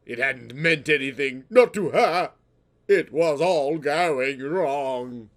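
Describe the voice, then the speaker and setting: A man's voice: on the high side, takes it slowly. A man narrating a comic story in a dramatic, mock-serious, melodramatic way.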